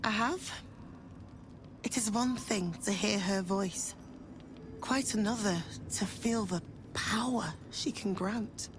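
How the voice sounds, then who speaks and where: A woman's voice: medium pitch, slow, long pauses, background noise, close to an everyday voice. An actress playing a sinister woman cultist who speaks in a cooing, honeyed, condescending way. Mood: honeyed menace.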